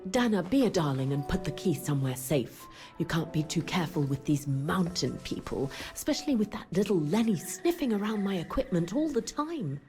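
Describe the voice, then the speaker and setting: Lively, bossy 1930s filmmaker, music underneath. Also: female voice, fairly low pitch, a little fast. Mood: chatty, bossy. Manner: chatty, condescending endearments, expressive. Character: breezy, bossy, suspicious female filmmaker in 1930s mountain refuge. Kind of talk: video game voice acting.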